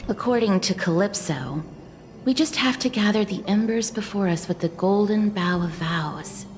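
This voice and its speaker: A woman's voice: mid-range voice, normal speed, natural voice. A woman playing a composed, polite and resolute young heroine in a video game. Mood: thoughtful, resolute. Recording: reverberant, light music behind.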